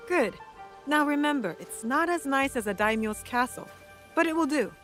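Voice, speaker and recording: A woman's voice: high-pitched, animated, moderate pace, fairly bright tone. An actress playing a stern, loyal young woman warrior in a video game, curt and earnest with a dry, scolding tongue. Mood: earnest, respectful. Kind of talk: dramatic video game dialogue. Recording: music playing behind the voice.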